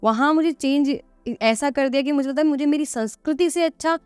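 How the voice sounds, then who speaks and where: A woman's voice: high-pitched, brisk, no room sound, soft background music. A woman guest on a podcast, explaining earnestly and conversationally, repeating phrases for emphasis and posing rhetorical questions.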